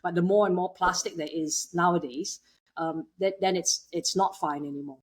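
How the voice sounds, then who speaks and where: Interview guest: woman from Malaysia, lower voice, halting delivery full of ums and restarts. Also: steady tone, husky. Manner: hesitant, many fillers and restarts. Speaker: guest, waste technology entrepreneur. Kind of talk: conversational interview.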